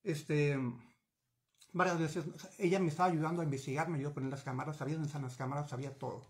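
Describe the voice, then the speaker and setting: Hombre mexicano, cuarentón, entrevistado por webcam desde su despacho; tono algo agudo, habla agitada, gesticula, se corrige a menudo. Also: male voice, fairly high pitch, quick pace. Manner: animated, gesturing, many restarts and fillers. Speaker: interviewee. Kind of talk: recounting a personal story. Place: small home office with bookshelves. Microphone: video call webcam.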